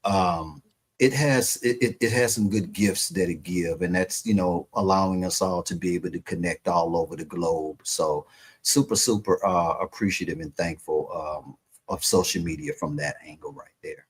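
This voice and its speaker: A man's voice: low-pitched, normal speed, slightly rough. A man hosting a radio interview show, talking casually with many fillers and repeated words. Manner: warm, chatty, repeats words, lots of fillers. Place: radio station.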